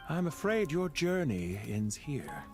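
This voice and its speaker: An actor playing a proud, composed, calculating magnate in a video game, with a dignified, deliberate and formal delivery. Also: male voice, on the high side, big pitch swings. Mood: calm farewell. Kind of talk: scripted video game voice acting. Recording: music under the voice.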